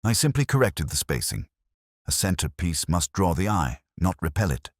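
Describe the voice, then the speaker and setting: A man voicing a smooth, meddling, self-justifying committee woman in a village mystery story. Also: sits low, sing-song, moderate pace, no room sound, clean studio sound. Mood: smooth, defensive.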